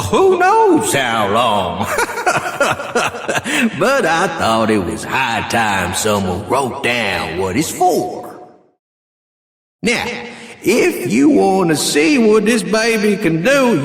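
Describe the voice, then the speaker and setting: A man's voice: a little high, a bit bright, full character voice. A man voicing a folksy, chuckling rustic letter writer with an American Southern drawl in a video game. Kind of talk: video game character voice acting. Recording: echoey hall reverb, music behind. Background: music.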